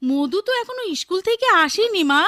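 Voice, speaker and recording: An actress playing a good-natured village daughter-in-law in a radio drama, respectful and reassuring, with a rural Bangladeshi accent. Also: female voice, extremely high pitch, animated, a little fast, fairly bright tone, no room sound, studio quality. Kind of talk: Bengali radio drama acting.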